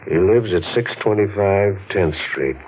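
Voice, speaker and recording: An actor playing a stern, methodical district attorney in a 1940s radio drama, measured and probing. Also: male voice, sits low, animated, husky, full character voice. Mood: grave, probing. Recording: muffled vintage radio.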